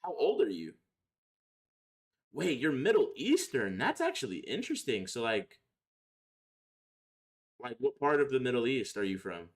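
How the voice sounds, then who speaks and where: A man's voice: mid-range voice, big pitch swings, unhurried, pauses often. A young man chatting casually on a livestream, answering viewers in slangy, profane, opinionated talk. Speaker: live streamer.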